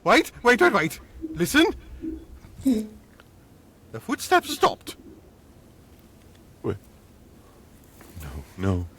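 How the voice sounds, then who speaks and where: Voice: mid-range voice, huge pitch swings, drawn-out words, pauses often, fairly bright tone, breathy edge, heavily acted. An actor voicing an anxious, panicky piece of bubble gum in a comedic audio drama, stammering and repeating words. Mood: panicked.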